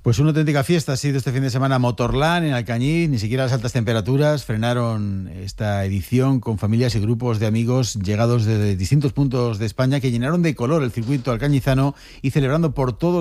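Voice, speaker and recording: Brisk Spanish man reading radio news. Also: medium pitch, a little mellow, no room sound, clean studio sound. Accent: Spanish (Spain). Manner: brisk, measured newsreader delivery. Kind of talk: news bulletin presenting.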